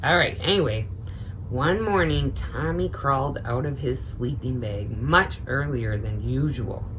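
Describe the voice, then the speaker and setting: A middle-aged woman reading a story aloud to children in an expressive, motherly way, with chatty asides. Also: very deep voice, unhurried, a little hiss or hum, poor audio.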